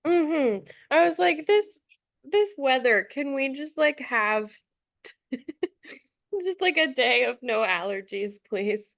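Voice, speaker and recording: Stuffy-nosed woman podcast host, unhurried. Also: sits high, lively intonation, vocal fry, a bit bright, no echo, clean studio sound. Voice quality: slightly stuffy nose. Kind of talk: casual chat.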